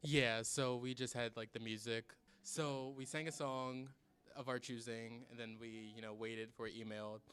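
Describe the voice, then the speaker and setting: A man's voice: mid-range voice, steady tone, a little slow, fairly bright tone. A young man, a student in an a cappella group, answering interview questions casually, with many fillers. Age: young adult.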